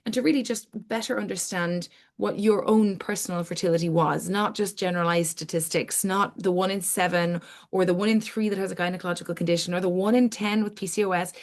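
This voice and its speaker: A middle-aged woman with a slightly low voice presents an online webinar as its host. She speaks informally, explaining in long sentences that build up lists of points. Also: fairly even intonation, a little fast. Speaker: webinar host, academic scientist.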